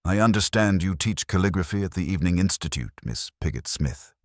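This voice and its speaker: A man voicing a courteous, thoughtful police inspector, calmly shrewd, speaking in a measured, formal way in an audiobook mystery. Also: low voice, dry recording, studio quality. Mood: polite probing.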